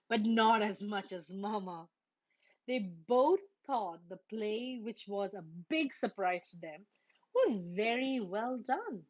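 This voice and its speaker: A woman's voice: mid-range voice, muffled recording. A woman reading a children's story aloud, expressively acting out the characters. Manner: expressive, acts out the characters' lines. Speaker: storybook reader.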